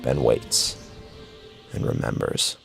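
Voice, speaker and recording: A man's voice: slow, soft background music. A man narrating a scripted horror story in a dramatic style.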